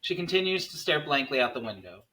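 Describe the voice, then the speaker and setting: A man's voice: on the high side, very animated, fast talker, pushed and bright, rough recording. A man reading stage directions aloud as the narrator of a table read.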